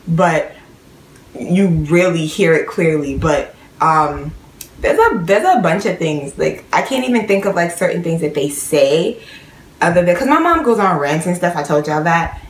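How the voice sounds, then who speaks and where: A woman's voice: on the low side, lively intonation, a little slow, echoey room, slight background noise, rough recording. Young woman, chatty New York vlogger. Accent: New York with a slight Guyanese tinge. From New York, of Guyanese family. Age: young adult. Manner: chatty, rambling, lots of "like" and "um". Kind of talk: casual chat to camera. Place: small bedroom at home.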